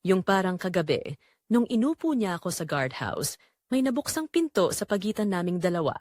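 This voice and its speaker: A woman's voice: on the low side, big pitch swings, normal speed, darkish tone, no room sound, clean studio sound. A woman narrating a scripted first-person romance story for an audio drama.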